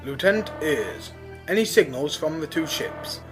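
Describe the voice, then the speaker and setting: A man playing a composed, courteous rabbit starship captain in a sci-fi audio drama, giving crisp orders. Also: a little high, lively intonation, unhurried, music in the background. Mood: commanding.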